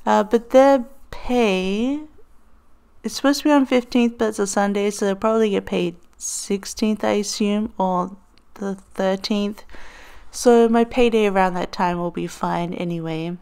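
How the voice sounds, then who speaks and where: A woman narrating a planner voiceover in a relaxed, meandering way, full of ums and little laughs. Her voice is smooth and clear, with a touch of morning crackle, at an ordinary middle pitch. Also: unhurried. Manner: chatty, rambling with frequent ums and laughs. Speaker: planner vlogger. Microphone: voiceover over overhead desk footage.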